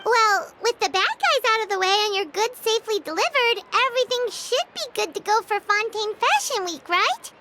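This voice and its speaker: A woman's voice: extremely high pitch, animated, normal speed, hardly any pauses, noisy background. A woman voicing a tiny, eager female companion sprite who talks in a bubbly way and calls herself by name. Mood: chirpy.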